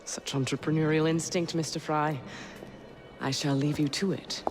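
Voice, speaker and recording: A woman's voice: low-pitched, steady tone, normal speed, loud music behind the voice, natural voice. An English actress playing a shrewd, elegant Victorian businesswoman in a video game, speaking in a formal, arch, self-assured way. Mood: brisk satisfaction.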